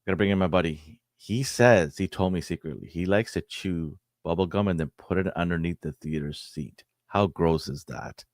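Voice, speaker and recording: A man hosting a casual chat show, hoarse and raspy, teasing his co-host. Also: low voice, moderate pace, hardly any pauses, no echo, studio quality. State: hoarse from cheering. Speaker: podcast host. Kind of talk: casual banter.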